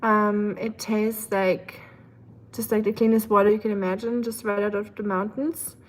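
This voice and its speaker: A woman's voice: medium pitch, flat delivery, moderate pace, low-quality recording. A woman with a German accent chatting casually to livestream viewers, thinking aloud in a rambling way with dry humor. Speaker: livestream host. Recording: clear background ambient noise.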